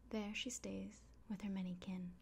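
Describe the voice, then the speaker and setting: A woman playing a kindly village innkeeper in a fantasy ASMR roleplay, speaking in a soft, breathy whisper with gentle, hesitant pauses. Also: medium pitch, little pitch movement, lightly acted. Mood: earnest, encouraging. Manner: gentle, unhurried, hesitant pauses, fussing over the listener. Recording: close soft recording with clear background noise.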